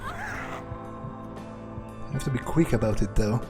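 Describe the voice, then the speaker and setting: A man's voice: mid-range voice, animated, close to an everyday voice. A man voicing a jaded, wary male vampire in a game playthrough, wryly muttering his thoughts in character. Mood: matter-of-fact. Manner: unhurried, wry, muttering to himself. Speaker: gamer role-playing in character. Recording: faint game music behind.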